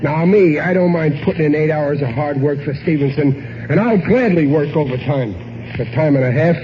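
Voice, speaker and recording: A man's voice: fairly high pitch, normal speed, natural voice. An American actor playing a sentimental, blustering, dim working-class family man with ungrammatical speech in a radio sitcom. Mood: earnest. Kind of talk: 1940s radio sitcom acting. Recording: noisy, muffled vintage radio broadcast.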